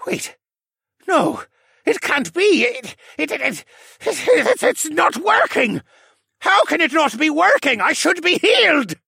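A man's voice: extremely high pitch, wildly swooping pitch, slow pace, vocal fry, pushed and bright, dry recording, clean studio sound, pitched above the performer's own voice, heavily acted. A man voicing a deranged, feverish owlman villain who rants and stammers in an audiobook fantasy. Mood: frantic disbelief. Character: deranged gloating owlman villain, frail and desperate.

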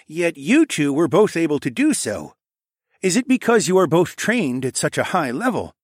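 A man's voice: fairly high pitch, big pitch swings, normal speed, no room sound, studio quality, voice pushed up. A man voicing a stern king and father who presses his questions in an audiobook. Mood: measured. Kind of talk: audiobook drama dialogue.

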